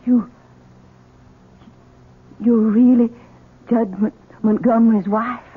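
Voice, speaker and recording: A shrill, frightened young bride. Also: female voice, flat delivery, takes it slowly, husky. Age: young adult. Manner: shrill, panicky. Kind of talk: 1970s radio drama acting. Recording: phone-like narrow old radio broadcast.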